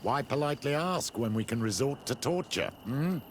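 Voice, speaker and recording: A man's voice: mid-range voice, animated, moderate pace, slight background noise, close to an everyday voice. An actor playing a mocking, sardonic man in a video game, speaking with sarcasm. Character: sardonic, mocking male challenger. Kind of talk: video game cutscene dialogue.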